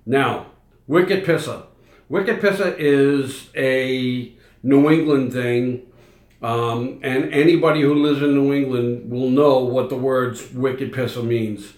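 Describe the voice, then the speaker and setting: A man's voice: mid-range voice, takes it slowly, a little mellow. A middle-aged man with a Boston accent, chatting casually on a livestream and rambling through fillers and restarts. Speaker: livestream host. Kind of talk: casual live chat answering viewers. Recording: echoey room.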